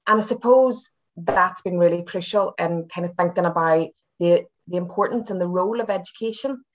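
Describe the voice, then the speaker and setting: Belfast woman with a lowish voice. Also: moderate pace, poor audio. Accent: Belfast Northern Irish. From Belfast, Northern Ireland. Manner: chatty, hesitant, circling back with hedges. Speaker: university senior lecturer, interview guest. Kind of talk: casual reflective personal interview.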